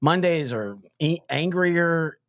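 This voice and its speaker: A man's voice: a little high, expressive, slow pace, dry recording. Rambling man hosting sports talk. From East Texas. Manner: rambling, frequent restarts and stammered repeats. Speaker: podcast host.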